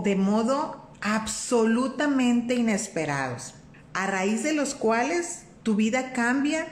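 A Mexican woman hosts a faith-based live stream and talks to her viewers in a warm, conversational way. She greets people by name and keeps checking in with them. Her voice bounces around a roomy space, with faint room noise underneath. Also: medium pitch. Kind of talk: casual live-stream talk on faith and life.

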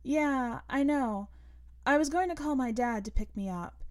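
Woman voicing an eager, high-pitched teenage girl gamer. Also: a little slow, dry recording, clean studio sound. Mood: friendly. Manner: eager, chatty, questioning.

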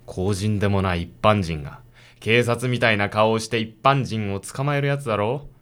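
A man's voice: on the low side, moderate pace, fairly bright tone, clean tone, close to an everyday voice. A man playing an arrogant, stiffly formal police detective and father in a radio drama, talking down to people. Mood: coolly dismissive.

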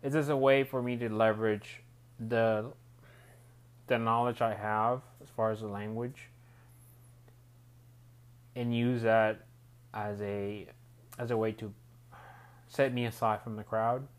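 Man, rambling, low-pitched. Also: flat delivery, takes it slowly, long pauses. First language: Spanish. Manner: rambling, restarts phrases often. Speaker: podcast host. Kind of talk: solo reflective monologue.

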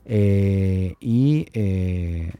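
A man hosting a tech news podcast alone, talking informally and haltingly as he thinks aloud, often adding little questioning tags. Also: deep voice, warm tone, smooth.

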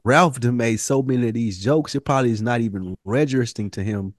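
A man with an American accent chatting casually as a podcast co-host, telling things in a slangy, profane, animated way. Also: mid-range voice, a little fast, no room sound, clean studio sound. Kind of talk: casual TV review podcast chat.

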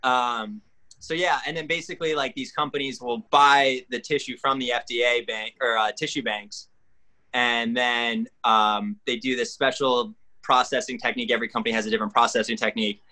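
A man's voice: mid-range voice, fairly even intonation, normal speed, bright, forceful tone, poor audio. A man in his twenties, a podcast guest, explaining things in a rambling way full of fillers. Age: 20s. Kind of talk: casual chat.

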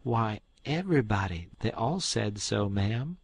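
A man voicing a cheeky, smooth-talking teenage boy who acts overly polite and innocent. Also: fairly low pitch, animated, measured pace, full character voice. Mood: sheepish.

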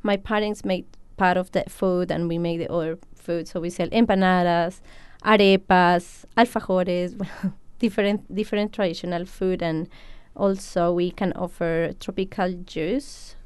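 Clear-voiced Colombian café owner, hesitant. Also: female voice, on the low side, steady tone, a little slow, a little mellow, no room sound. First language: Spanish. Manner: hesitant, many fillers, laughs often. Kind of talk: interview answers.

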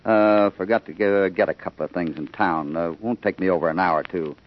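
An actor playing a calm, steady cowboy hero in a 1940s radio western. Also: male voice, low-pitched, a little fast, lightly acted. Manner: calm, level and firm. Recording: dull old radio broadcast with loud hiss.

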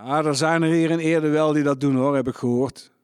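An actor as a blunt, wary farmer, dryly recorded. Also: male voice, fairly high pitch, steady tone, a little fast, smooth, no room sound, studio quality, natural voice. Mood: stern, cautioning. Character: wary, gruffly protective Dutch farmer in wartime village. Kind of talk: scripted radio drama dialogue.

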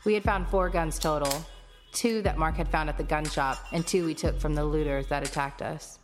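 A woman acting as a tense female survivor tells her story in a lowish voice. She narrates an alien apocalypse audio drama in the first person, with prominent music playing behind her. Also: steady tone, moderate pace, natural voice. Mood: grave, tense.

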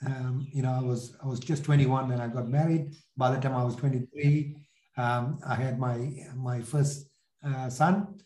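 A man in his sixties with a Sri Lankan accent, telling his life story in an interview in a halting, reflective way. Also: mid-range voice, steady tone, mellow, roomy sound, rough recording. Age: 60s. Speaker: podcast guest, entrepreneur.

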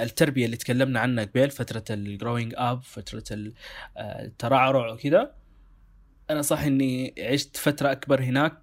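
A young man with a Sudanese Arabic accent, co-hosting a casual discussion and talking in an animated, emphatic way. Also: mid-range voice. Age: young adult. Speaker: co-host.

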